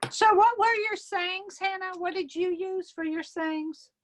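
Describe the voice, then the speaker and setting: A woman's voice: very high-pitched, unhurried, a little hiss or hum. A woman taking part in an online craft class, asking questions and agreeing eagerly in casual chat. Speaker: class participant.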